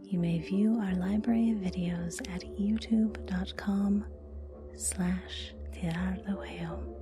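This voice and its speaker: A woman whispering a scripted ASMR podcast outro in a breathy voice, with music behind her. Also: mid-range voice, fairly even intonation, takes it slowly. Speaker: podcast announcer.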